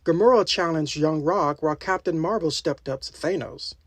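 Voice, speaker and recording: A woman reading a children's adventure story aloud as scripted narration, with a dramatic, expressive delivery. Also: sits low, moderate pace, breathy edge, no echo. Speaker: storybook reader.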